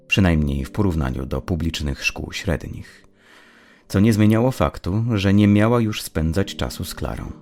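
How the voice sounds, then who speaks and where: A man reads dark fiction as an audiobook narrator, in a measured, literary storytelling style. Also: low-pitched, vocal fry.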